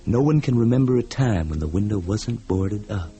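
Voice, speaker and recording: A low-voiced rural American man, played by a male radio actor, tells of a haunted place in a plain, reflective way. He sounds like a folksy frontier local in an old-time radio drama, with music clearly playing behind him. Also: animated, moderate pace, mellow, lightly acted. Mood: wistful, reflective.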